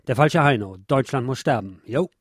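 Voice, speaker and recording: A man's voice: medium pitch, expressive, normal speed, heavy background noise. A man hosting a radio show, presenting items in an informal, off-the-cuff way. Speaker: radio host.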